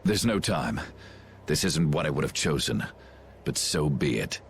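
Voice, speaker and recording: An actor playing a scheming middle-aged knight commander in a game, pompous, condescending and theatrical. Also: male voice, rumbling low, lively intonation, measured pace, a little hiss or hum, full character voice. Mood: cold resolve. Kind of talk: English game dub acting.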